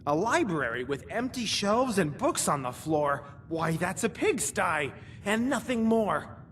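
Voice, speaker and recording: Wildly swooping, fussy eccentric librarian. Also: female voice, on the low side, sing-song, unhurried, a little hiss or hum. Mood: fussy, muttering. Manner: rambling, repetitive, theatrical. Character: eccentric, fussy, scatterbrained post-apocalyptic librarian, rambling to themselves. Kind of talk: video game character acting.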